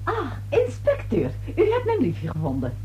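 A woman's voice: extremely high pitch, wildly swooping pitch, moderate pace, dark tone, soft background music, heavily acted. An actress playing a flighty, pushy lady in a radio play, with theatrical delivery, on a dull, noisy old recording. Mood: coy, insistent.

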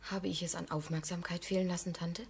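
A dreamy young woman in a seventies radio drama, rather low in pitch and softly intense. She is quietly defiant and hushed, over a faintly noisy recording. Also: level tone, brisk, creaky. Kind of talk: 1970s German radio play acting.

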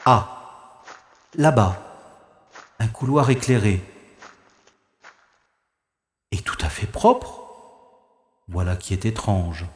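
A man's voice: on the low side, expressive, extremely slow, pauses often. An actor playing a wry male secret agent who narrates his own sneaking through a manor in a sci-fi audio drama. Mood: wary. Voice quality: dry, ironic inner monologue. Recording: echoey room with long reverb.

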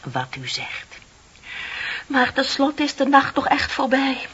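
An actress in a radio play voicing a middle-aged single woman, gushing, dramatic and romantically deluded, confiding in breathy swells. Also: high voice, very animated, takes it slowly, a bit bright, heavily acted. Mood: dramatic self-pity. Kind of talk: Dutch radio play acting. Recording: dull, lo-fi radio play recording.

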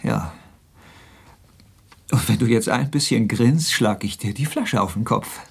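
A brooding, eccentric older man in love, for radio drama. His voice is very husky and mid-pitched, his words terse and intimate, gruff but tender. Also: animated, unhurried, exaggerated voice. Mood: gruff, teasing. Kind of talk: German radio drama acting.

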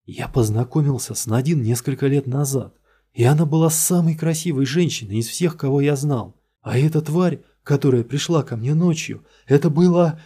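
A man voicing a terrified male murder suspect in a storybook reading, pleading and shouting in a hoarse, cracking voice. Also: medium pitch, a little slow. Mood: frantic.

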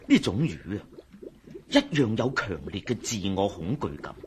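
A man with a Hong Kong Cantonese accent playing a chatty, excitable doctor who explains things eagerly in a radio drama. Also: on the low side, normal speed, a little hiss or hum. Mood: eager, explanatory. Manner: chuckling, explanatory, animated. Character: male doctor, chatty, excitable, fond of explaining his pet fish. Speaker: voice actor.

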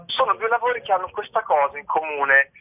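A man being interviewed as a theatre company member, explaining his work in a halting, thoughtful way as he searches for words. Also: high-pitched, expressive, background noise. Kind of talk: spoken interview, explaining stage works. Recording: narrow, tinny phone line.

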